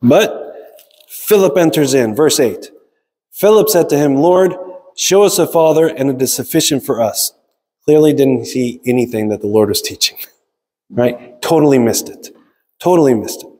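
Male preacher, mid-pitched and mellow, conversational sermon delivery with frequent check-in tags. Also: lively intonation, moderate pace. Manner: explanatory, frequent checking tags like "right?" and "okay?". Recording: long reverb of a large hall.

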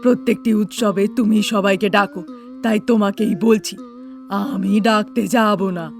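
A woman's voice: mid-range voice, fairly even intonation, moderate pace, vocal fry, faint music behind, natural voice. A woman playing a bossy, nagging elderly mother-in-law and grandmother in a cartoon, with a scolding, insistent manner. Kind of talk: Bengali cartoon dialogue.

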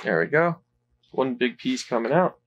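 Relaxed man talking through a toy unboxing. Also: medium pitch, normal speed, noisy background. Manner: relaxed, rambling, with fillers. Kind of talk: casual unboxing commentary.

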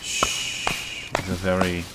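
A man's voice: low-pitched, slow, very noisy. A man streaming a co-op game, chatting casually and reading out viewer messages. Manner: relaxed, chatty, reads out viewer chat. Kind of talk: casual gameplay chat. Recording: game music loud behind.

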